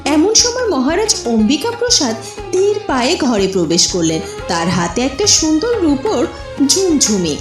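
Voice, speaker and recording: A woman's voice: high voice, big pitch swings, normal speed. A woman narrating a scripted cartoon fairy tale as a storyteller. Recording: echoey, music underneath.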